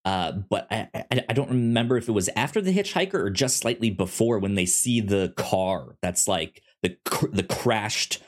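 A man with a somewhat low voice chats casually as a podcast co-host, reviewing a show. He talks haltingly, tripping over his words and restarting sentences as he thinks aloud. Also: expressive. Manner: halting, stammers and restarts often.

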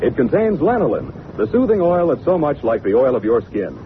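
Animated male announcer, tinny vintage radio. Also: medium pitch, brisk. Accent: American. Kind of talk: 1940s radio commercial announcing. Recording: noisy, tinny old radio recording.

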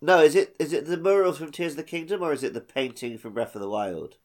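A man's voice: on the high side, fast. A man co-hosting a podcast in a casual, chatty style. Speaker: podcast co-host. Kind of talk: casual chat.

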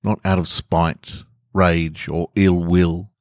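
A man's voice: low-pitched, flat delivery, slow pace, no room sound, clean studio sound. A man hosting a podcast, talking casually and reading short stories aloud as narrator. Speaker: podcast host and story reader.

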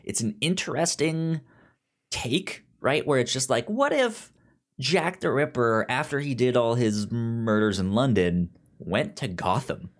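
Male podcast co-host, unhurried pace, mid-range pitch, halting delivery, stammered repeats, casual recap style. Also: animated. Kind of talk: casual chat, summarizing and reviewing a comic.

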